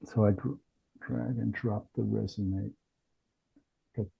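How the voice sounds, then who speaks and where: A man giving a casual software demonstration in a halting way, thinking aloud as he goes. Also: lowish voice, fairly even intonation, vocal fry, slightly rough, muffled recording. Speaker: company founder demonstrating software. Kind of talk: casual product demo.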